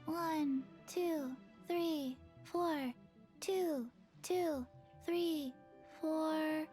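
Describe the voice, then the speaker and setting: A woman voicing a little undead zombie girl in a video game, slow, halting and blank. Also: high voice, soft background music. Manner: slow, halting, monotone counting.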